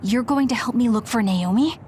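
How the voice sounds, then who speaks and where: A woman's voice: fairly high pitch, moderate pace, darkish tone, slight background noise, close to an everyday voice. A woman playing a serious, determined young soldier in a video game, direct and earnest. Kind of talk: dramatic video game acting.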